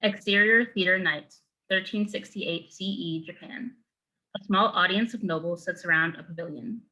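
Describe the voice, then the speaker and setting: Bright, crisp young woman narrator, lowish pitch. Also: poor audio. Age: young adult. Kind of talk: scripted narration of stage directions.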